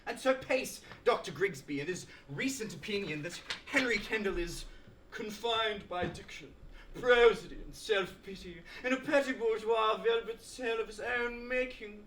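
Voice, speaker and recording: A man's voice: high-pitched, normal speed, a bit bright. A teenage male student actor with an Australian accent playing a pompous, aggrieved academic lecturer in a theatrical stage monologue, with florid, oratorical delivery. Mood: earnest, oratorical. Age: teens. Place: indoor school drama showcase, filmed performance. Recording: roomy echoing stage, faint noise, clicks.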